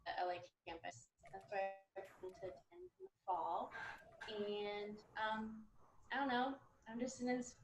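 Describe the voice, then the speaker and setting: A woman's voice: medium pitch, moderate pace. A young woman giving a brief, casual self-introduction as a prospective film student on an echoey, noisy video call. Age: young adult.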